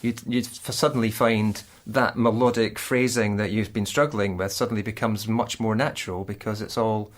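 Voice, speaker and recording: A man's voice: fairly low pitch, fairly even intonation, normal speed, few pauses. A man hosting a podcast, thinking aloud and restarting sentences as he searches for words. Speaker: podcast host. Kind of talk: conversational podcast interview.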